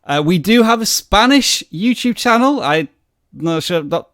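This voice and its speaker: A man's voice: high voice, lively intonation, a little slow, fairly bright tone, no echo, studio quality. A man hosting a live-stream Q&A, rambling and self-deprecating in a casual chat. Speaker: host.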